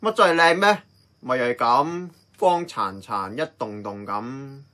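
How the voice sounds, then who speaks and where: Man playing a sharp, forceful Hong Kong grumbler. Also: medium pitch, unhurried. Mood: scoffing, impatient. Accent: Hong Kong Cantonese. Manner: blunt, bossy, scoffing. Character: brash, impatient, grumbling companion of the uncle. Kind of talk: Cantonese radio drama acting.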